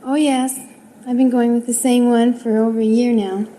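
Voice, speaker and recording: A woman's voice: fairly high pitch, little pitch movement, normal speed, dark tone, a little hiss or hum, rough recording. A young woman contestant on a radio quiz, casually answering the host's questions. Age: young adult.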